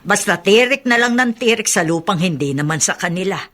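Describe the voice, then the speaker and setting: A woman's voice: mid-range voice, animated, fairly quick, a bit bright. An actress playing a chatty, judgmental middle-aged businesswoman and mother in a radio drama, full of interjections. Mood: disapproving. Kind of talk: Filipino radio drama acting.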